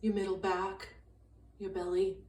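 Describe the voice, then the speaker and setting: A woman guiding a meditation in a calm, gentle, unhurried way, leaving long pauses between short phrases. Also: mid-range voice. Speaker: meditation guide. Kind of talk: guided meditation, slow soothing instruction. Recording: roomy echo, distant mic, faint noise.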